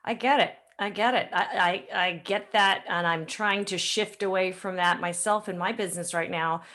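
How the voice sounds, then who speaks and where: A woman's voice: mid-range voice, normal speed, fairly bright tone. A woman hosting a podcast in a conversational, informal way, thinking aloud as she talks. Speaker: podcast host. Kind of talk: conversational interview.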